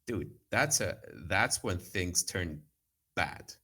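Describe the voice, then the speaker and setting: Rambling low-voiced man from Cyprus. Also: animated, takes it slowly, pushed and bright, breathy edge. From Cyprus/Greece. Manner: rambling, many fillers and restarts. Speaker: guest trader. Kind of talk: casual podcast chat.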